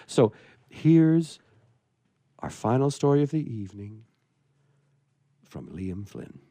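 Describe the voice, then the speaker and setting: A man's voice: lowish voice, mellow, no echo. A man hosting a radio show and reading a humorous story aloud, with playful, amused asides.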